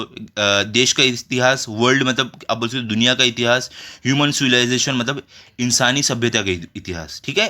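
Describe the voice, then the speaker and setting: A man's voice: mid-range voice, bright, forceful tone. A man with an Indian accent explaining a school lesson to children, instructively, with frequent rhetorical questions and check phrases. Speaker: school tutor. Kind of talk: explanatory lesson for children, reading textbook and translating.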